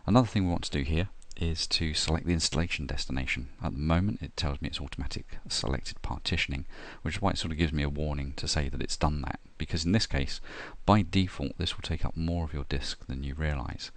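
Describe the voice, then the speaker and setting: Guy, really deep low voice, walking through a computer setup, lots of uhs. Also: fast, hardly any pauses, dry recording. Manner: steady step-by-step explaining with frequent "uh" and "um". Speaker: software tutorial presenter. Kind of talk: screen-recorded tutorial narration.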